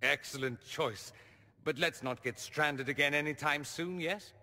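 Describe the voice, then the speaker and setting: A man's voice: fairly high pitch, unhurried, pushed and bright, big-room sound, heavily acted. An actor playing a witty, vain and charming young mage nobleman in video game dialogue, teasing and theatrical in manner. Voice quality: arch, ironic wit. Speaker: mage companion.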